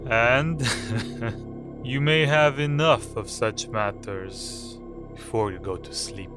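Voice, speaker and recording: An actor playing a grim foreign coach driver in an audio drama, with a menacing, darkly jesting manner. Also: male voice, medium pitch, music in the background, close to an everyday voice. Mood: grim amusement. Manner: slow, grimly jesting delivery.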